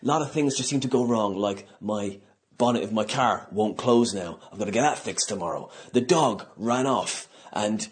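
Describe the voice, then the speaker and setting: A man's voice: on the low side, normal speed. A man hosting a podcast, talking informally in a chatty, rambling way. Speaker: podcast host and comedian. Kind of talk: casual spoken podcast introduction and chat.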